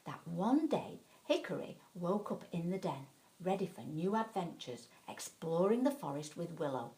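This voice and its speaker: A woman narrating a children's bedtime story in an expressive, dramatic way, leaning on key words. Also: lowish voice, moderate pace, low-quality recording.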